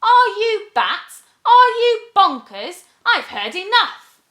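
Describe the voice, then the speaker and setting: A woman's voice: very high voice, very animated, slow pace, fairly bright tone, roomy sound, pitched above the performer's own voice, full character voice. A woman voicing a hot-tempered, mischievous young witch in a storybook, exclaiming and chanting rhymes dramatically. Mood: indignant.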